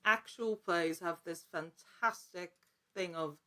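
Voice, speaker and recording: A woman's voice: fairly low pitch, slow pace, pushed and bright. A woman who works as an actor, chatting casually and enthusiastically as an interview guest, with emphatic wording.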